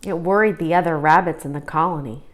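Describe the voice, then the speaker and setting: A woman narrating a children's storybook in an expressive read-aloud manner. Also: sits low, fast talker. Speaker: storybook reader.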